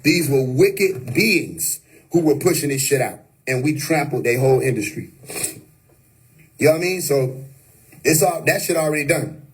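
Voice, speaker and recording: Husky man preaching on a livestream. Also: fairly high pitch, lively intonation, moderate pace, poor audio. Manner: preachy, emphatic, repeats "you know what I mean". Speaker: livestream host. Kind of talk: unscripted livestream monologue. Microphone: phone or webcam on a live stream.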